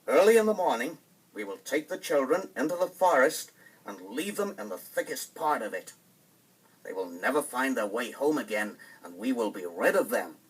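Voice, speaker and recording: A man voicing a cruel storybook stepmother with a sharp, scolding, commanding manner. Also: mid-range voice, big pitch swings, normal speed, breathy edge, distant mic, poor audio. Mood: scheming. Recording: vinyl storybook record with music behind.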